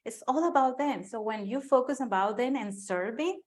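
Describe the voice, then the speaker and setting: A woman's voice: a little high, animated, normal speed. Enthusiastic Spanish-accented woman host. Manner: warm enthusiastic praise, mixes casual phrasing. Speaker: host and business coach. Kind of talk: interview hosting.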